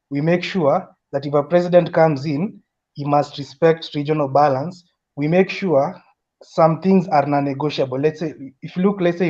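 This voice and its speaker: A man's voice: a little high, a little mellow. Earnest Kenyan man on lo-fi audio. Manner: earnest, repeats and restarts while building an argument. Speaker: caller in a discussion. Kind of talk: casual opinion chat. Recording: dull lo-fi audio.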